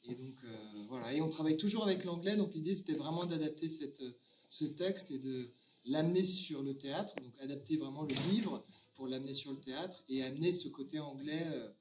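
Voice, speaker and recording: A man from France, an actor, casually answering interview questions in an animated, hesitant way, searching for words. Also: fairly high pitch, brisk, a little hiss or hum. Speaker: theatre actor and company founder. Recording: roomy, distant mic, dull sound, faint music.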